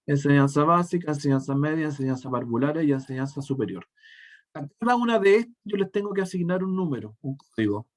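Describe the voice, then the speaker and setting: A male teacher, walking through a software demonstration step by step and often restarting his sentences mid-thought. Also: fairly high pitch, animated, quick pace. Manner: step-by-step explaining, frequent self-corrections and restarts. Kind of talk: screen-share software tutorial.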